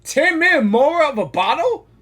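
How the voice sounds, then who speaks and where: A woman's voice: high voice, big pitch swings, normal speed, a bit bright, soft background music. A woman giving casual, informal live reaction commentary while playing a video game. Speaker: gaming reaction streamer.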